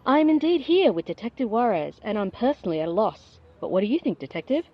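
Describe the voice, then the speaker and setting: A woman's voice: fairly high pitch, sing-song, fast talker. Audio drama: actress for polite, eager female news reporter, emphatic broadcast manner, slightly lo-fi sound. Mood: curious, polite.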